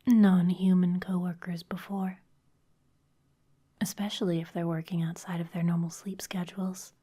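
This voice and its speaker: A woman whispering as a sly vampire boss. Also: lowish voice. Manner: soft breathy whisper, polished and teasing. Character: sly, intimidating female vampire executive. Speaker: ASMR performer. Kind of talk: whispered ASMR roleplay.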